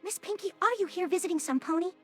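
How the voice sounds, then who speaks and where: Woman voicing high-pitched, courteous pony nurse. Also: fast talker, soft background music. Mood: polite, brisk. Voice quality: synthetic text-to-speech voice. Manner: courteous, announcing. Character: polite, brisk female pony nurse at retirement home. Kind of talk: fan audio drama acting.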